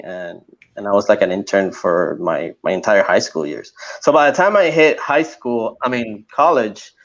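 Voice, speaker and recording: A man in his forties from Puerto Rico, a native Spanish speaker, talking as a guest in a casual interview with many fillers and restarts. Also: lowish voice. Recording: dull lo-fi sound.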